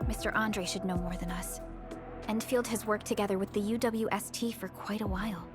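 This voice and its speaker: A woman playing a composed, formal young team leader in a video game, measured and earnest. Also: mid-range voice, steady tone, music in the background, natural voice. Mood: thoughtful.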